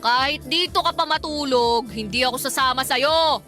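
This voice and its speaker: A woman's voice: high-pitched, moderate pace, pushed and bright. A woman playing a drunk, lovesick and hot-tempered young woman in a Tagalog radio drama, whiny and defiant. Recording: music bed behind the dialogue.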